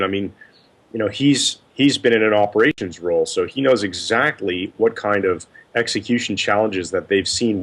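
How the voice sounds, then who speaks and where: A man with a slightly low voice speaks as a panelist on a video call podcast. He offers casual analysis, thinking it through aloud in a conversational way. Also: fairly bright tone, breathy edge. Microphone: Skype video call.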